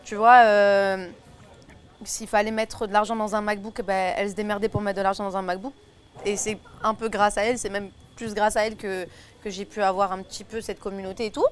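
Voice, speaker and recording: A woman's voice: mid-range voice, flat delivery, a little fast. Chatty young Belgian woman. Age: 20s. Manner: chatty, many fillers and restarts. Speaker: singer and influencer guest. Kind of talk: casual chat. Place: table at a food tasting.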